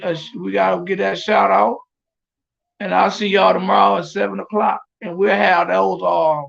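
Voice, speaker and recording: Gravelly-voiced man on a dull phone stream. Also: sits high, a bit bright. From Flint, Michigan. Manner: rambling, repeats words, speaks straight to his audience. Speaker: podcast host. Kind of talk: casual live address to viewers. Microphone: phone, streaming from afar.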